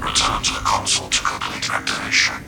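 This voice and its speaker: An actor playing a solemn, ancient machine spirit of a console, making flat, formal ritual announcements in a game. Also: male voice, fast. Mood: impassive, insistent. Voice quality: very deep, gravelly. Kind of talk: scripted game voice lines. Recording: loud music over the voice.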